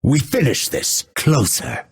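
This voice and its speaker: A man voicing a vengeful exiled assassin in a video game, grim and proud, with a raspy, theatrical, ominous delivery. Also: medium pitch, huge pitch swings, slow, exaggerated voice. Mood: quietly sinister. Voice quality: gravelly, raspy. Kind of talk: dramatic video game voice lines.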